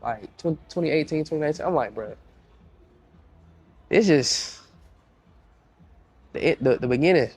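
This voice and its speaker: A young man with a congested, stuffed-up voice, talking as a guest producer in a loose podcast chat. He sits a little high in pitch and leans into slang, circling back and repeating phrases as his stories pick up speed. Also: unhurried, long pauses, slightly rough. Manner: slangy, repeats himself, lively storytelling. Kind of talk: casual podcast interview.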